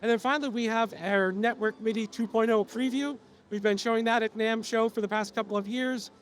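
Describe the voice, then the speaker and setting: A man with a notably high voice walks an audience through software features at a trade-show presentation. He is losing his voice and coughs now and then, but keeps a steady, explanatory pace, leaning on "uh" fillers and small restarts. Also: fairly quick.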